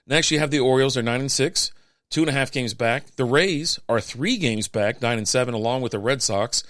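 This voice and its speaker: A man hosting a podcast, reading out sports standings in a casual, chatty way with offhand quips, his voice going hoarse. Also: medium pitch, brisk, fairly bright tone, no echo, studio quality. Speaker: podcast host.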